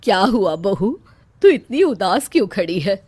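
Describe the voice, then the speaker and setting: A woman's voice: medium pitch, very animated, unhurried, darkish tone, slightly rough. A woman voicing a kindly older mother-in-law in a cartoon story, motherly, reassuring and encouraging. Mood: concerned. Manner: motherly, plain-spoken, encouraging.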